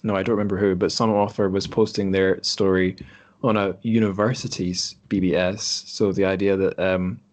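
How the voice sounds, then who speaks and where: A man hosting a podcast in a casual chat, speaking in a thoughtful, halting way. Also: deep voice, flat delivery, a little mellow. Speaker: podcast host. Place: remote group call.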